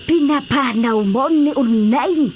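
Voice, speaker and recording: A woman's voice: high voice, takes it slowly, soft background music. A woman playing a reproachful, exclaiming older mother in a radio drama. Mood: indignant, scolding. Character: scolding older mother, indignant at her son.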